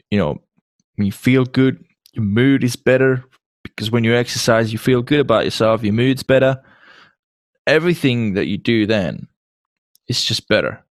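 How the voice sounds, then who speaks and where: A man's voice: on the low side, no echo, clean studio sound. A man hosting a casual interview, often repeating and restarting phrases as he searches for words. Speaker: podcast host.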